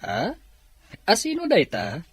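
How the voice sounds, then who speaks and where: A man's voice: on the high side, wildly swooping pitch, slow, a bit bright, breathy edge, exaggerated voice. A man playing a kindly, agreeable farm boss and father in an Ilocano audio drama. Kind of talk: Filipino radio-drama dialogue in Ilocano.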